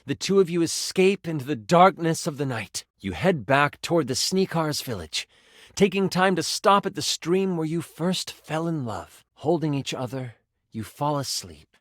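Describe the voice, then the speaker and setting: A man's voice: a little high, lively intonation, moderate pace, fairly bright tone, no room sound, clean studio sound. A man playing a game master character, narrating a fantasy tabletop adventure theatrically, with conversational asides. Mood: dramatic. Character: animated personified twenty-sided die running a fantasy game as game master.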